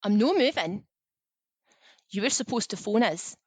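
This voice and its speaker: A woman's voice: mid-range voice, expressive, measured pace, darkish tone, no room sound. A woman playing a resentful, confrontational teenage daughter in a naturalistic radio play. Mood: defiant. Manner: confrontational, demanding.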